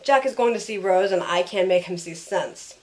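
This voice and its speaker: A woman's voice: medium pitch, animated, moderate pace, close to an everyday voice. A woman playing a sardonic, loyal young heroine who narrates her own story in an audio drama. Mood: storytelling.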